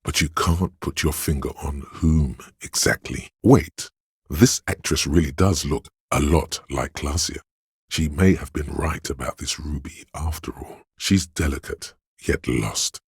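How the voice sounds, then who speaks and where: A man voicing a sleazy, hedonistic inner voice of addiction in a video game, with a slow, coaxing, streetwise manner and a deep gravelly, husky sound. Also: no room sound, clean studio sound, full character voice. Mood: sly, musing. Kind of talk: video game character voice acting.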